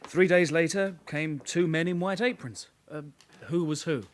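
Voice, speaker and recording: Radio drama: junior British detective, male actor, lightish higher pitch, courteous, slightly hesitant sidekick. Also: normal speed, lightly acted. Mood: easygoing. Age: young adult. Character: polite, eager young male detective constable sidekick.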